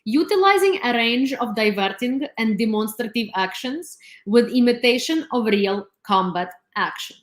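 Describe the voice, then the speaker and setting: Pompous russian official reading a statement, a woman putting on a mocking accent, a bit higher, roomy echo. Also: animated, normal speed, close to an everyday voice.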